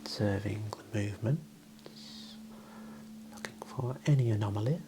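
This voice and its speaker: A man whispering slow, gentle instructions in an ASMR medical exam role play, softly praising between steps. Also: on the low side, noisy background. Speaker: ASMR creator playing a clinician.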